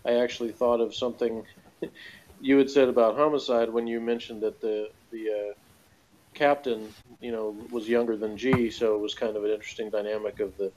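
A man's voice: lowish voice, little pitch movement, normal speed, a little mellow, rough recording. A man giving his opinions as a guest in a casual review discussion, rambling and hesitant, over an online call. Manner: rambling, hesitant, frequent "you know". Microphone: video call. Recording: faint background noise on online call.

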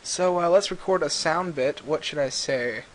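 A man giving a casual, rambling software tutorial, recorded on a computer microphone a little away from him. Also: fairly high pitch, moderate pace. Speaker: tutorial host. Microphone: computer mic a little far away. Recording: clearly hissy amateur computer mic recording.

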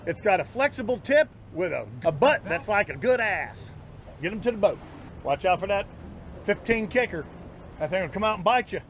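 Middle-aged man, Southern US accent, highish voice, folksy fishing-host banter, recorded outdoors on a boat with some engine noise. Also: big pitch swings, normal speed, fairly bright tone. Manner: folksy, salty-tongued joking. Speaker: charter fishing captain and host. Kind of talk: casual chat while fishing. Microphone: action camera on the boat.

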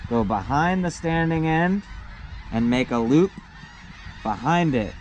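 A young man, a sailing instructor, calmly walking through tying a knot step by step, recorded outdoors on a boat deck. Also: fairly high pitch, slow pace, highs cut off, a little hiss or hum. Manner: patient, step-by-step, plain-spoken. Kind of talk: hands-on knot-tying demonstration.

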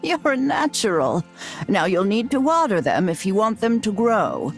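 A woman's voice: mid-range voice, sing-song, moderate pace, soft background music. An actress playing a feisty, affectionate old grandmother in a video game, with a folksy, doting manner. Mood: gentle, doting. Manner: folksy, doting, hesitant and chuckling. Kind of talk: animated video game voice acting.

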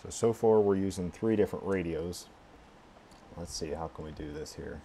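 A man with a low-pitched voice talks through a screen tutorial. He is casual and unscripted, often halting to mutter his way through the next step. Some background noise sits under his voice. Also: mellow. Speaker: tutorial presenter. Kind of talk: casual screencast walkthrough, thinking aloud.

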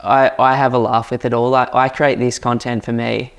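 This Australian man hosts a property podcast with eager, chatty energy. His voice is light and youthful, and it stays level, with little rise and fall. He stays at a middle pitch for a man and fills his talk with casual fillers. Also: flat delivery, normal speed, gravelly. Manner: enthusiastic, chatty, lots of fillers. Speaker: podcast host.

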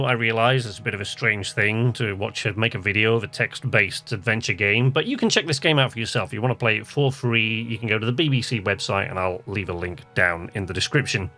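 A man's voice: mid-range voice, fairly quick, hardly any pauses, bright, forceful tone, soft background music. A man hosting a gaming channel, giving casual commentary on his playthrough.

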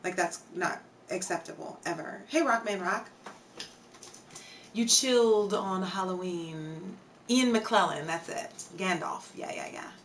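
A young woman with a hoarse, tired voice, chatting casually with her viewers on a home live stream and filling her talk with "like" and "um". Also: lowish voice, unhurried, fairly bright tone, recorded from a distance, slight background noise. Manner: chatty, reads out chat names, many fillers. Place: home streaming room with shelves.

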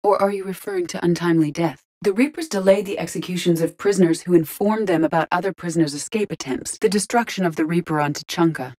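An actress playing a calm, logical artificial intelligence in an android body in a video game, speaking formally and precisely with restrained emotion. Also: female voice, fairly low pitch, quick pace, hardly any pauses, natural voice. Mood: calm, matter-of-fact. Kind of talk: scripted video game voice acting.

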